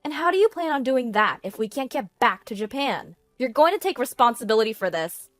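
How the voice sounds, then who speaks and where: A shrill, scornful mistress, voiced by a woman narrator in a story drama. Her voice is raised and high, demanding and blaming, with no room sound. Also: very animated, fairly quick, no echo, faint music behind. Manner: shrieking, blaming.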